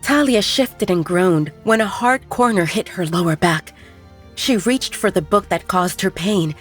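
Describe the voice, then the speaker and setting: A woman narrating a scripted fiction story in a measured, expressive storytelling manner. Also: lowish voice, faint music behind. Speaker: audiobook narrator.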